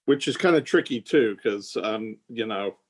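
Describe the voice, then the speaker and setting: A man with a fairly low voice chats on a panel. His pitch moves around a lot, and his delivery is casual. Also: lively intonation, moderate pace.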